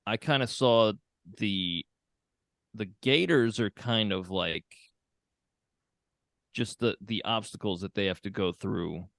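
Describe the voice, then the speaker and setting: A man's voice: lowish voice, slow, creaky, pauses often, fairly bright tone, no room sound, clean studio sound. A man in a casual podcast chat, hesitant and self-mocking, full of fillers.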